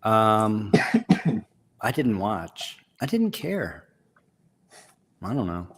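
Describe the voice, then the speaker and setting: A man hosting a live online show about comic conservation, talking in a relaxed, rambling, self-deprecating way. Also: lowish voice, extremely slow. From Texas. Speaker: host. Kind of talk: casual live show chat.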